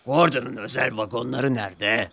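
A man's voice: medium pitch, normal speed, creaky. Man playing a commanding stout railway controller. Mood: stern. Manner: commanding, sharp. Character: stern, bossy stout male railway controller. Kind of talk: children's cartoon storytelling. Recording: loud music behind the voice.

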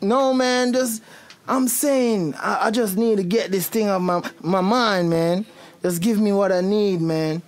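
A man's voice: sits high, unhurried. A tipsy Jamaican womanizer in a radio drama. He is insistent and coaxing, a drinker who will not take no.

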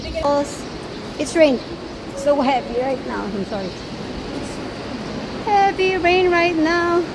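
Woman, high voice, slow unhurried talk, excited exclamations, noisy outdoor recording. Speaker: travel vlogger. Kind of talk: walking tour vlog. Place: outdoors and in a sports complex, rain and wind. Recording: noisy outdoor phone video.

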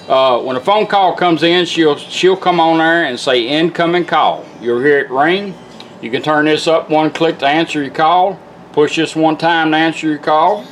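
A man's voice: fairly high pitch, normal speed, slight background noise. A middle-aged man giving an informal, plain-spoken hands-on product demonstration. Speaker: vintage car radio restorer demonstrating a product. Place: indoor workshop bench. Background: guitar music from the radio at times.

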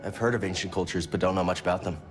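A man's voice: deep voice, steady tone, rapid-fire, faint music behind, close to an everyday voice. A young man playing an earnest, determined adventurer in a video game, with an understated delivery. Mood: thoughtful. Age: young adult.

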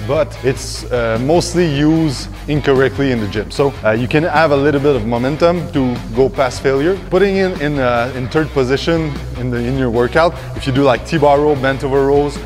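A man in his thirties coaches a workout from the floor of a large indoor gym, explaining each exercise step by step. He talks at a mid pitch, and his explanations are full of fillers and restarts. Faint music plays behind him, picked up on a lapel mic. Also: normal speed, few pauses. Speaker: fitness coach.

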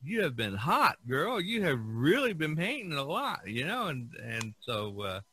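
A man's voice: fairly high pitch, huge pitch swings, unhurried, pushed and bright, slight background noise. A man hosting a podcast in a casual, rambling, folksy way. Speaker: podcast host. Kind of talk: casual chat.

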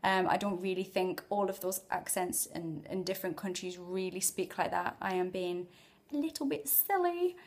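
A woman's voice: on the low side, moderate pace, a little mellow. A woman in her thirties with a Geordie accent, chatting casually and self-mockingly to a phone held at arm's length in a small room. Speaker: vlogger. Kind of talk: casual chat to camera.

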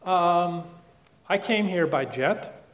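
A man's voice: high-pitched, animated, slow pace, fairly bright tone, rough recording. Joking man giving a science lecture. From Netherlands. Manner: chatty, joking, with restarts and asides. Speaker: guest lecturer. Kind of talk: public science talk. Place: lecture room with projected slides.

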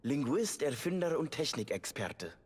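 A man playing a calm, courteous young ally in a Victorian video game, polite and measured in his wording. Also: a little high, long reverb tail, a little hiss or hum. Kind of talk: German video game dub acting.

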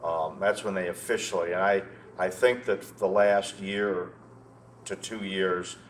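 An older American man in his sixties reminiscing conversationally as an interview guest, halting and often repeating words. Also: deep voice, fairly even intonation. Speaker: interview guest, loudspeaker maker. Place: indoor show room, seated. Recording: noticeable background noise, room ambience.

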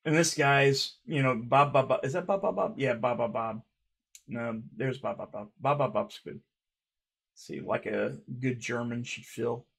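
A man in his thirties reading fan comments aloud on his channel, rambling and swearing, with a stutter he mentions himself, recorded by a webcam in a dark room at home. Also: medium pitch, a little slow, creaky, husky. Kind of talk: casual reaction commentary.

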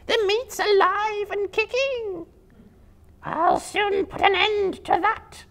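A malicious storybook spider, screeches in an extremely high, hissing voice. It is hungry and sinister, stretching out its words with menace. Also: male voice, slow pace, fairly bright tone, exaggerated voice. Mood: angry, menacing. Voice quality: hissing, shrill.

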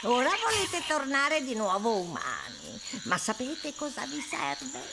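An eccentric old woman of the swamp, bossy and theatrical. Her voice swoops widely and takes its time, breaking into cackles. It is cartoon game dialogue over loud noise and insect ambience. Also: high voice, huge pitch swings, a little slow, vocal fry, full character voice. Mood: bossy, playful. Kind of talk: animated video game dialogue.

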